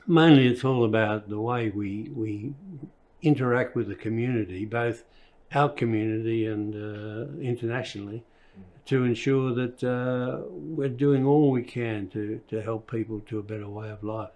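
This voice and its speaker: A man giving a documentary interview in a halting, measured way. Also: medium pitch, steady tone, a little mellow. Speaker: service club member interviewed.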